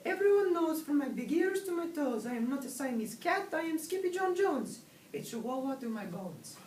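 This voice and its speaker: A man voicing an excitable, boastful young kitten from a storybook, with theatrical exclamations and a put-on Spanish accent. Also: very high voice, normal speed, voice pushed up. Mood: defiant, excited. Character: excitable boastful young Siamese kitten who thinks he's a chihuahua. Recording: roomy, distant, noisy recording.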